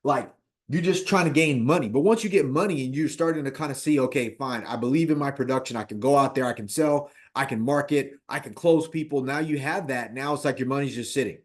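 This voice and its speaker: A man of fifty talking casually about personal finance as an investor, animated, repeating points and checking in with "right?". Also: mid-range voice, fairly quick. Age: 50s. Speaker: real estate investor.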